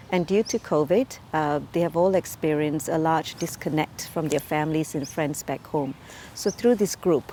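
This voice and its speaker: Woman, late 30s, fairly low voice, earnest, measured, fills pauses with um and uh, community ambassador interview. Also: a little mellow, slight background noise. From Malaysia. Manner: measured and earnest, with frequent um and uh fillers.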